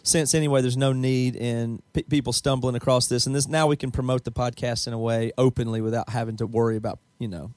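A man's voice: medium pitch, level tone, fast, few pauses, darkish tone, dry recording. A man hosting a podcast, speaking emphatically and pressing his point in casual talk. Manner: emphatic, ranting. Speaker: podcast host. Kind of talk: casual chat.

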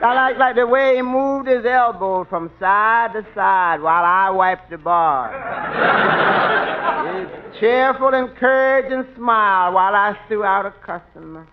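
A man playing a meek, deadpan waiter giving a dry tribute in a 1940s radio comedy. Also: sits high, a little slow, narrow, tinny audio, very noisy.

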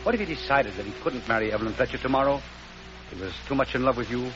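An actor playing an earnest young man torn in love, who speaks haltingly. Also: mid-range voice, expressive, lightly acted. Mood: hesitant. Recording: muffled old radio recording with music.

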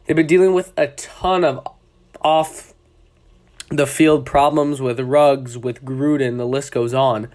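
A man's voice: medium pitch, steady tone, slow, a little mellow. A young man with a cold and a stuffy, congested voice, hosting a casual sports podcast in a loose, rambling way, often correcting himself mid-sentence. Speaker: podcast host.

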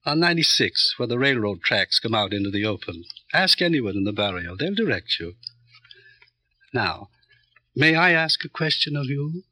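An actor playing a smug, calculating middleman who runs a barrio, talking in a smooth, condescending, pompous way in an old radio crime drama. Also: mid-range voice, very animated, moderate pace, pushed and bright, no room sound, heavily acted. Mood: slyly amused.

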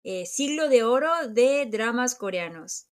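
Korean-accented woman, higher voice. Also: animated, unhurried. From South Korea. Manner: reflective, restarts and searches for words. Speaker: podcast co-host. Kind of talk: casual chat.

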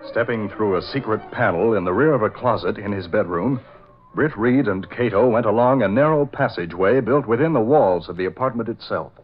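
A man's voice: fairly low pitch, brisk, soft background music. A man announcing and narrating in scripted 1940s radio style, with dramatic, emphatic delivery. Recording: old lo-fi radio broadcast.

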